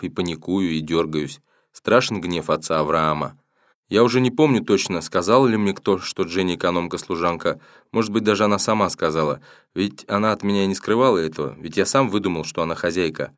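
Deep-voiced man reading a first-person novel. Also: fairly quick, no echo, studio quality. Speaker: audiobook narrator.

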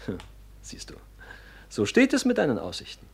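Husky, scheming young nobleman, widely swinging voice. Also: medium pitch, wildly swooping pitch, normal speed, whispered, exaggerated voice. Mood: sly, commanding. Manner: theatrical, insinuating, swinging from hushed to loud. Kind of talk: classic German radio drama acting.

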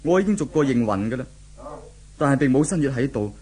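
A man's voice: medium pitch, animated, quick pace, a little mellow, natural voice, low-quality recording. A man acting as an anxious, lovelorn boyfriend in a 1970s radio drama, earnest and troubled, with a Hong Kong Cantonese accent. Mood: dejected. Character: lovelorn, anxious man searching for his girlfriend.

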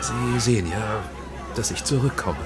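A man's voice: mid-range voice, moderate pace, over a noisy crowd, close to an everyday voice. An actor playing a guarded middle-aged film director and father who answers carefully and evasively in a radio drama.

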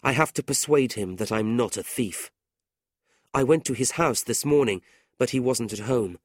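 A man's voice: mid-range voice, normal speed, no room sound, studio quality, natural voice. A man voicing a proud, honest young man who speaks earnestly and flares with indignation, in a storybook reading.

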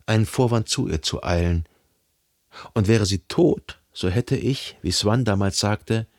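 A jealous, lovesick man narrating his own story in a radio drama. His voice is fairly low. He speaks deliberately and introspectively, as if questioning himself. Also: breathy edge. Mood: bitter, reflective. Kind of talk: literary radio drama first-person narration.

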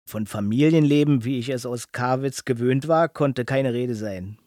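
A man narrating a radio documentary in a measured, grave manner. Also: mid-range voice, dark tone, dry recording, studio quality. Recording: narration over faint music.

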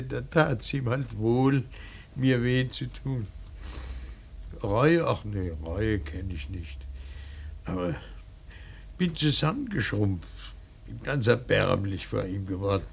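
An old painter in mourning for a radio play, bitter and rambling. His voice is husky and crackles with gravel. He speaks slowly and haltingly, with heavy emphasis that swells out of murmurs. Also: mid-range voice, heavily acted. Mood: remorseful, wounded. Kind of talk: theatrical German radio drama monologue.

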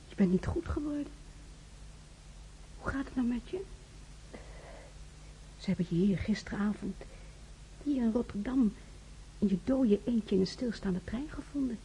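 An actress playing a worried, motherly woman in a radio play, fussing and gently scolding in a breathy, insistent way. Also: medium pitch, slight background noise, close to an everyday voice, poor audio. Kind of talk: radio drama acting.

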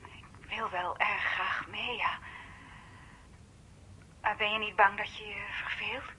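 An actress playing a shy, eager young woman in a naturalistic radio drama, speaking in a halting, earnest way. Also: mid-range voice, little pitch movement, pushed and bright, low-quality recording. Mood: shy, hesitant. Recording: noticeable background noise.

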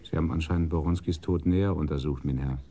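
An actor playing a serious, courteous police brigadier asking questions in a radio crime drama. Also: male voice, a bass voice, fairly even intonation, fast talker, warm tone, husky. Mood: earnest.

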